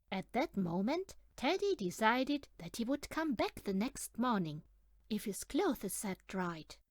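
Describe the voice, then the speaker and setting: A woman reading a children's picture book aloud as an audiobook, in an expressive, deliberate storytelling manner. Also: a little high, no echo, clean studio sound. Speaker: audiobook reader.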